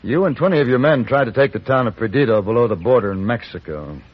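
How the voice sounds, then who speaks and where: An actor playing a cool, confident American gunfighter for hire in a radio Western, terse, precise and unflappable. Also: male voice, medium pitch, lively intonation, quick pace, lightly acted. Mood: composed. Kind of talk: old-time radio Western acting. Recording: muffled vintage radio recording.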